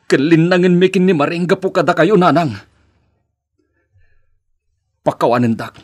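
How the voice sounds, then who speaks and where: An actor with an Ilocano accent playing a distressed grown son and devoted husband in a radio drama. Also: male voice, on the high side. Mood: aggrieved, resolute.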